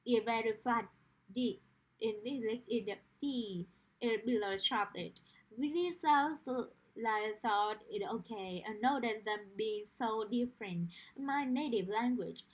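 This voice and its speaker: Woman, Romanian accent, slightly high voice, earnest pronunciation teacher talking to camera. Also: lo-fi sound. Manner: earnest, explanatory, uses everyday analogies. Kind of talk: direct-to-camera teaching monologue.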